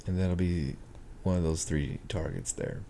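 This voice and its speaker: Slow, gravelly low-voiced man. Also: mellow, a little hiss or hum. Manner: slow, thinking aloud with many ums. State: hoarse, clearing his throat. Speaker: market analyst. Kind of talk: screen-share chart walkthrough.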